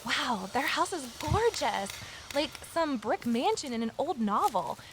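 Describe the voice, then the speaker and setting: Inquisitive higher-voiced woman investigator, noisy ambience. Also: big pitch swings, a little slow, heavily acted. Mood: curious. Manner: inquisitive, conversational. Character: curious female ex-journalist, eager new conservation worker. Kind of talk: audio drama dialogue and first-person narration. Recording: clear background noise, outdoor ambience.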